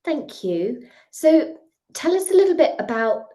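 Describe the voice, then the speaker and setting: A woman hosting a podcast, asking her guest questions in an affirming, unhurried way. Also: high-pitched, big pitch swings, mellow. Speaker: podcast host. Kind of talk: interviewing a guest.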